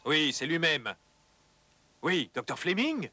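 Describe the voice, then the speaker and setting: A male actor playing a determined senior research doctor in a dubbed educational cartoon, measured and authoritative. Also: a little high, big pitch swings, loud music behind the voice. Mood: businesslike.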